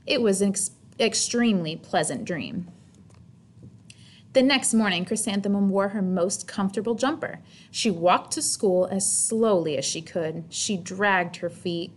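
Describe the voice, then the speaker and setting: A woman narrating a children's storybook expressively and deliberately, stretching words for emphasis like a teacher reading aloud. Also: mid-range voice.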